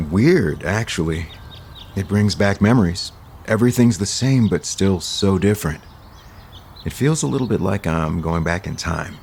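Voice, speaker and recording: Sweet earnest guy, really deep voice, soap opera, faint birdsong behind. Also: animated, a little slow, vocal fry, darkish tone, a little hiss or hum, exaggerated voice. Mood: reflective. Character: gentle, earnest, considerate man, a returning old flame. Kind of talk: audio soap opera acting.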